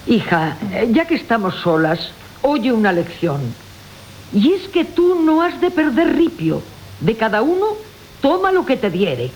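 Sly, wheedling older maidservant. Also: female voice, on the high side, sing-song, a little slow, a little mellow, rough recording. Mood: sly, knowing. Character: sly older widowed female servant, flattering, mocking schemer. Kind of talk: classical Spanish comic radio play acting. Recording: hissy old radio recording.

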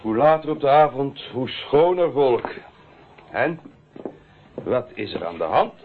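Sarcastic brusque cop in charge, radio play, mid voice, tinny with some hiss. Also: male voice, mid-range voice, very animated, a little slow. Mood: sardonic. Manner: dry, sarcastic. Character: sardonic male police detective chief, brusque, sceptical. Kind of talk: radio drama acting. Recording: noisy, phone-like old radio recording.

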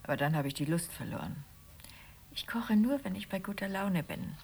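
Dry frustrated wife in a radio play, husky creaky voice, quietly defiant. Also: female voice, on the low side, very animated, moderate pace, exaggerated voice. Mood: resentful, dry. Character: frustrated, hospitable wife, quietly defiant toward her husband. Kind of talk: German radio play acting.

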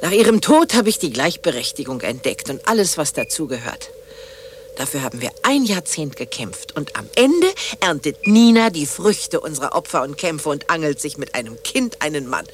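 A scornful ex-wife, swooping intonation. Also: female voice, deep voice, very animated, normal speed, a little hiss or hum. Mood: bitterly mocking. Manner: sarcastic, mocking, dramatic. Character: bitter, scornful older ex-wife, cutting and theatrical. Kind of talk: German radio play acting.

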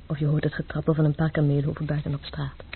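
Wistful, very husky woman, phone-like. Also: low voice, monotone, fast talker, heavily acted. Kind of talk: radio play acting. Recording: muffled, phone-like old radio recording.

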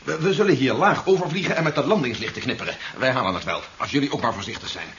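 An actor playing an anxious conspirator with an urgent, stammering delivery in a 1970s radio drama. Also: male voice, mid-range voice, fast, lightly acted. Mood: earnest, worried. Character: anxious, hurried male conspirator on a daring flight. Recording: dull, very noisy 1970s radio recording.

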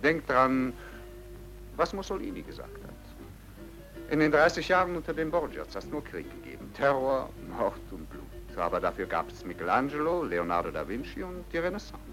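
An actor playing a suave, smugly amoral racketeer in a vintage radio play, with a nonchalant, theatrical delivery. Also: male voice, deep voice, a bit bright, exaggerated voice, poor audio. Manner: theatrical, nonchalant, swinging from muttering to declaiming.

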